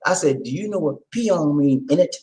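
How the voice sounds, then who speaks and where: A man with a Lowcountry Geechee accent hosts a livestream in a loose, chatty way. His pitch swings widely as he preaches and jokes with viewers. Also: moderate pace. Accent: Gullah Geechee, South Carolina Lowcountry. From Charleston, South Carolina. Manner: lively, preachy, laughs often, talks to viewers as y'all. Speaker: livestream host. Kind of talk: casual livestream chat.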